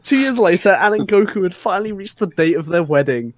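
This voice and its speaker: Higher-voiced man reading and joking. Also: lively intonation, fast, no echo. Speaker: podcast host and reader. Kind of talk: dramatic fan fiction reading and joking casual chat.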